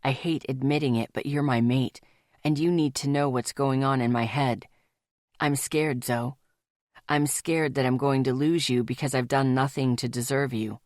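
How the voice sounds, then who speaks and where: A woman voicing a brooding, frightened werewolf man who speaks low and haltingly in an audiobook romance. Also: fairly even intonation, darkish tone, no echo, studio quality. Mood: scared, tender.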